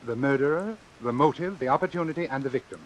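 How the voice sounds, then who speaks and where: An actor playing a coolly confident, obsessive doctor in a vintage radio suspense drama, speaking formally and precisely. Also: male voice, medium pitch, fast talker, muffled recording, slight background noise, close to an everyday voice. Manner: formal, precise, self-assured. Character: coolly confident, obsessive male doctor running a deadly experiment. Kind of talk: old-time radio suspense drama acting and narration.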